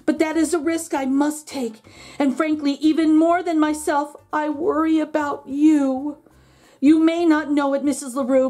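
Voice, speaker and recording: A woman's voice: sits high, warm tone. A woman voicing a self-pitying, melodramatic dog from a storybook, pleading and stretching out words theatrically. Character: melodramatic, self-pitying male dog writing letters home.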